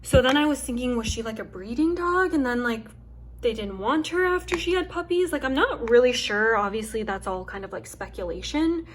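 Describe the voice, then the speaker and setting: Chatty young woman, soft calming voice. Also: fairly high pitch, fairly quick, a little hiss or hum. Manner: chatty, rambling, many "like" and "um" fillers. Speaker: craft channel host. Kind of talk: casual chat while chopping wax. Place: home with her dog nearby.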